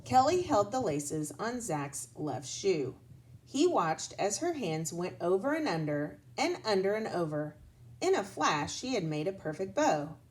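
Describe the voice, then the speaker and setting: A woman reading a children's storybook aloud as a lesson, expressively and deliberately, pausing to address young listeners. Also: medium pitch, very animated, rough recording.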